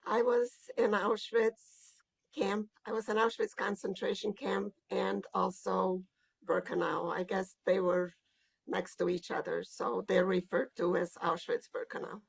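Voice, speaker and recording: Slow, gravelly-voiced elderly woman. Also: mid-range voice, flat delivery. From Hungary. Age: older. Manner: slow, reflective, hesitant with pauses and fillers. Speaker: Holocaust survivor giving testimony. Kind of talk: recorded oral history interview.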